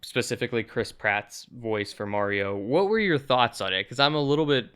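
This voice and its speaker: A man's voice: mid-range voice, moderate pace, fairly bright tone, smooth. A man hosting a casual, agreeable movie review conversation, talking in a conversational way.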